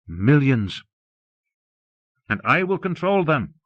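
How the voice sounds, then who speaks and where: A man's voice: fairly high pitch, sing-song, a bit bright, dry recording, voice pushed up, heavily acted. Dull-sounding, imperious disembodied mastermind played by a man. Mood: coaxing, grandiose. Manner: commanding, slow and emphatic, formal. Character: Fury, imperious, menacing disembodied mastermind, coldly possessive. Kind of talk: audiobook character dialogue. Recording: dull lo-fi cassette audiobook.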